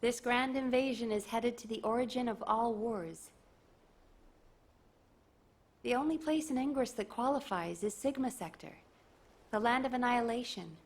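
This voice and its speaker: An actress playing a confident, determined military strategist in a video game, briefing in an assertive way. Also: female voice, fairly high pitch.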